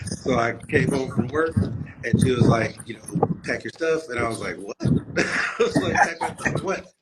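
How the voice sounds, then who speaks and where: A man's voice: mid-range voice, unhurried. Chatty man telling stories over a noisy call. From San Diego, grew up in Atlanta. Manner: rambling, restarts often, laughs while telling stories. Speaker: guest. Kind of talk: casual storytelling over video call. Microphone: video call. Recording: loud background noise on video call.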